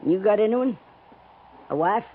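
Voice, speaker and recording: A woman's voice: medium pitch, animated, unhurried, slight background noise, lightly acted. An actress playing a tough, stubborn frontier homesteader woman with a rural American accent and a blunt, folksy manner in a radio western. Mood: reflective. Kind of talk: 1950s radio western acting. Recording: tinny, band-limited 1950s radio recording.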